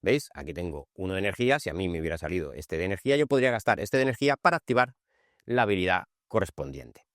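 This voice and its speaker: A low-pitched man with an accent from Spain hosts an informal game tutorial. He explains steps in a conversational way, adding short checks for understanding. The sound is dry, with very little room echo. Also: sing-song, quick pace, fairly bright tone. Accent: Spanish from Spain. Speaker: board game channel host.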